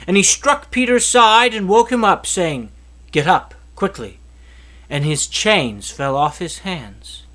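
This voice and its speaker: Bright, high-pitched man reading scripture expressively. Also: animated, slow. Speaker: Bible reader.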